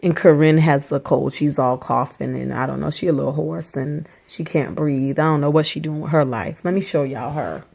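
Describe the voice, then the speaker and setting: Casual vlogger, woman, a little hoarse from a cold, upbeat and talky, lower pitch, recorded on a camera mic indoors. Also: moderate pace, hardly any pauses, darkish tone. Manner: chatty, upbeat, talks to viewers as y'all. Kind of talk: casual budget vlog. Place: small rented apartment indoors.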